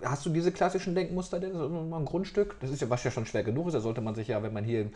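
Man, interviewer, chatty, rambling questions, average pitch. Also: fairly even intonation, fast, warm tone. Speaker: interviewer, local journalist. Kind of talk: casual interview.

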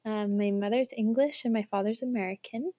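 A young woman from Switzerland, a guest telling her story in a casual chat over an online call, laughing often and filling pauses with ums. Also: mid-range voice, fairly even intonation, dark tone, no room sound. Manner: chatty, laughs often, lots of fillers. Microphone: remote online call recording.

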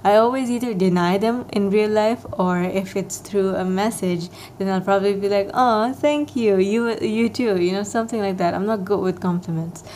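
A young woman talking alone into her podcast mic, meandering from thought to thought with plenty of ums and likes. Her voice is soft and mellow, with a slight morning rasp. She speaks at a middling pitch in an easygoing, self-deprecating way. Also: normal speed, few pauses, a little hiss or hum. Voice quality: soft, soothing voice. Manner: rambling, filler-heavy, self-deprecating. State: slightly raspier than usual, morning voice. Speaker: podcast host. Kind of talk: casual solo podcast chat.

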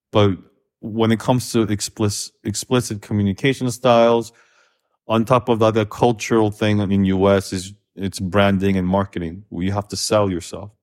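A man from Korea co-hosting a podcast, talking frankly and loosely with restarts. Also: on the low side. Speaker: podcast co-host. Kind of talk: casual conversational podcast.

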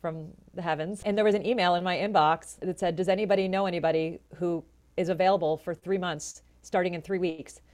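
Low-voiced woman telling lively stories. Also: a little fast. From Florida, USA. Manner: animated, chatty storyteller with lively asides. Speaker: jazz vocalist and educator, guest. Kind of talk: conversational interview storytelling.

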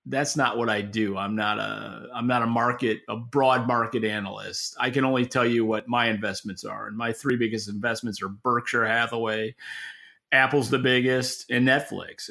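A man's voice: medium pitch, gravelly, bright, forceful tone. A middle-aged American man co-hosting a podcast, speaking casually and off the cuff in a rambling, stop-start, plain-spoken way. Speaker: podcast co-host. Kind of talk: casual unscripted market talk.

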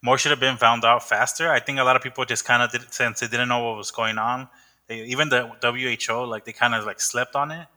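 Bright-voiced young male podcast host. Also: mid-range voice, steady tone, fairly quick. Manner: rambling, many fillers and restarts. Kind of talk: casual chat.

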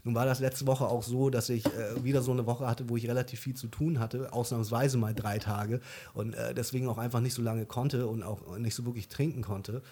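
A man's voice: medium pitch, steady tone, a little fast, mellow, a little hiss or hum. A young man co-hosting a casual podcast, rambling with many fillers and restarts. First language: German. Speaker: podcast co-host. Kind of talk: casual chat.

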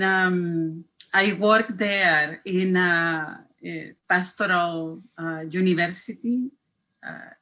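Older Chilean woman, slow and bright. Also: fairly low pitch. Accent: Chilean Spanish. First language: Spanish. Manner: earnest, searching for words. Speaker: guest, therapist.

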